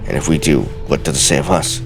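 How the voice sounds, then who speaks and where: Male let's play host, extremely low gravelly voice, theatrical reader, game music underneath. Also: fairly even intonation, fast. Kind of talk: game commentary with dramatic reading of in-game texts.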